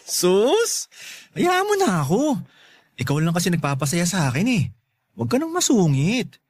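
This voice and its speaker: Cheeky, swooping high-voiced young loafer played by a man. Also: wildly swooping pitch, a little slow, long pauses, husky, no echo, exaggerated voice. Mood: playfully pleading. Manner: teasing, wheedling, boastful. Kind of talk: Tagalog radio drama acting.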